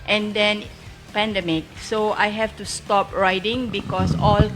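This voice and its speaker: A woman with a Malaysian accent answering as an interview guest, telling travel stories step by step in halting, unscripted English. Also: medium pitch, level tone, soft background music.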